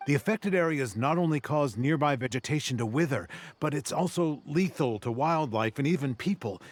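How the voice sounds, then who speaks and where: A man's voice: a little high, lively intonation, moderate pace. An actor playing a dutiful male forest ranger in a video game, explaining things earnestly. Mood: earnest, warning. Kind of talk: English video game voice acting.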